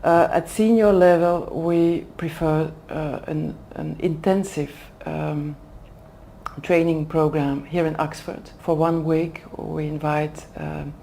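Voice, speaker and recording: Woman, deep and mellow tone, careful halting in an interview. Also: fairly even intonation, vocal fry, a little hiss or hum. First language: Dutch. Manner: careful, hesitant, pauses to find words. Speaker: executive development manager. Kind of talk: interview answers in a second language. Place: language school in Oxford.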